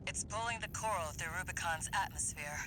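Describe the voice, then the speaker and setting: An actress playing a brash, battle-hardened mercenary operator in a video game, speaking in a commanding, wry way. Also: female voice, fairly low pitch, brisk, natural voice. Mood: grim, resolute. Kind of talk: video game voice acting, mission briefing. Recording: loud game music and vehicle noise.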